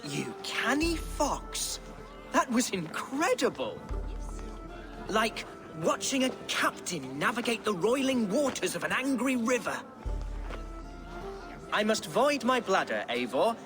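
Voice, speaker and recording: A man's voice: sits high, very animated, slow pace, pauses often, full character voice. An actor playing a pompous, theatrical young Saxon nobleman in a game cutscene. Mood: admiring. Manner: pompous, theatrical, florid speech. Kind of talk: video game cutscene acting. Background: orchestral game music.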